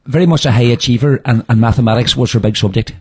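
A man's voice: medium pitch, fast, slightly rough. A man interviewing a guest, prompting him with brief questions.

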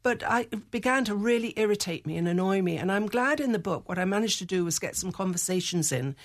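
An older English woman talks as a guest on a radio show in a mid-pitched voice. She speaks in an articulate, measured way, telling her story at an even pace. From England. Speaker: author and former journalist. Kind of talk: radio interview.

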